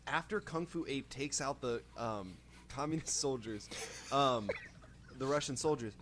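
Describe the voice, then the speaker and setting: Male game player, mid-pitched, casual improvised role-play, halting delivery that thinks aloud, faint background noise. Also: fairly bright tone.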